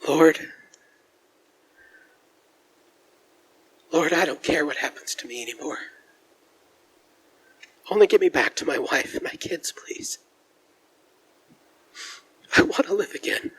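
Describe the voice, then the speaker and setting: A man's voice: on the high side, expressive, a little slow, pauses often, a bit bright, slight background noise. A man playing a distraught family man in a 1940s-style radio drama, pleading and stammering with intense emotion. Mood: praying. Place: large church, performed live. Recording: live stage recording in a reverberant hall.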